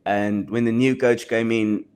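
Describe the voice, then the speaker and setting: A man's voice: fairly low pitch, fairly even intonation, measured pace, a little mellow, clear, resonant tone. Modest young South African man, hesitant. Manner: modest, hesitant, many restarts. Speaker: rugby player guest. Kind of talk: casual podcast chat.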